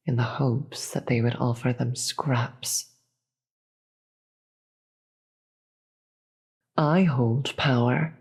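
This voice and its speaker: A performer voicing a proud, imperious demon queen in a fantasy audio roleplay, deliberate and theatrical, with a breathy voice and contempt for the gods. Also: mid-range voice, a little mellow, heavily acted. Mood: solemn, bitter. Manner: deliberate, theatrical, grandiose speech with dramatic pauses.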